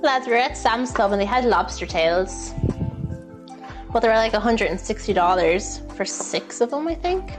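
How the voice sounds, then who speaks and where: A woman's voice: on the high side, expressive, normal speed. A woman with an Irish accent chatting casually and playfully while hosting a live cooking stream. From Ireland. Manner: chatty, playful, reads out viewer messages. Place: indoors, in a kitchen. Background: music.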